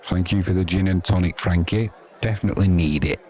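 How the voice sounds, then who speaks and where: Deep-voiced man commentating over crowd. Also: brisk, darkish tone. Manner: chatty, informal, self-deprecating. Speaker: live cricket commentator and stream host. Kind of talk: casual live sports commentary.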